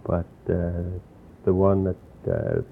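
Gravelly, deep-voiced older man reminiscing. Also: very animated, takes it slowly. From New York, USA. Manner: rambling, reflective storytelling with restarts. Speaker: interviewee. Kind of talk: oral history interview. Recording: tinny phone-like lo-fi recording with faint hiss.

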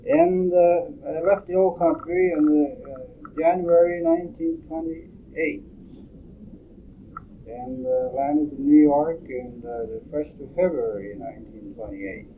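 Older man, slow halting tape recording. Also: a little high. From Norway. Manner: halting, many pauses and fillers. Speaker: interviewee. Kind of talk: oral history interview. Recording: tinny lo-fi tape, faint hiss.

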